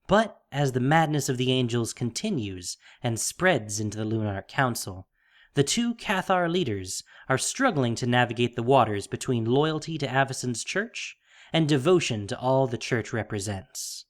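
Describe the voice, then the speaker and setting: A man's voice: mid-range voice, very animated, moderate pace. A man reading scripted fantasy fiction as a dramatic narrator.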